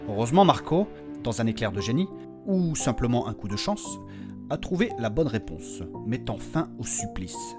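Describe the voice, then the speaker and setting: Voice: mid-range voice, very animated, moderate pace. Solemn storyteller over prominent music. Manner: solemn, theatrical. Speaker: narrator. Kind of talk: dramatic scripted story narration.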